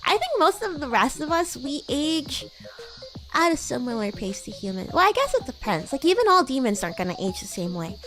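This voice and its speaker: A woman puts on a young female demon classmate with a high, girlish voice. She is bratty, playful and teasing, rambling in a loose livestream roleplay style. Faint music plays behind her. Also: big pitch swings, brisk, fairly bright tone. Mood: chatty explaining. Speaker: VTuber streamer. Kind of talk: improvised in-character roleplay livestream chat.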